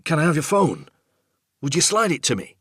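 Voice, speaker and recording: A man voicing a frightened adult son trapped in the dark, urgent and trying to keep control in an audiobook story. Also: fairly high pitch, sing-song, moderate pace, breathy edge, no room sound, clean studio sound, voice pushed up, heavily acted. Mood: urgent, reassuring. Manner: urgent, panicked, trying to reassure.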